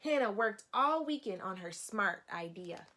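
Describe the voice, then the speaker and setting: A woman's voice: mid-range voice, animated, a little slow, sharp tone. A woman reading a picture book aloud like a teacher, guiding young children and putting questions to them. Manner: instructive, addressing young children.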